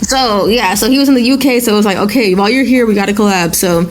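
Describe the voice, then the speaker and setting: A woman's voice: medium pitch, fast, noisy background. A woman from Nigeria, a hip hop artist guest in an interview, talking in a chatty, enthusiastic way with lots of fillers. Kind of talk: casual interview talk.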